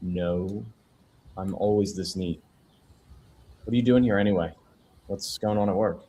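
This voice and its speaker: A man reading the part of a weary, wry newspaper proofreader at a film script table read, in a low-key conversational way. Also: unhurried, mellow, a little hiss or hum. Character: Cassidy, weary, lonely male newspaper proofreader, wryly curious.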